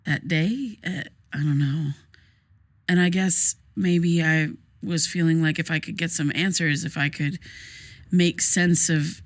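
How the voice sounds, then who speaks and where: An actress playing a troubled, grieving young woman in a naturalistic audio drama, speaking in a hesitant, halting, casual way. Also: sits low. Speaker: therapy client in audio drama.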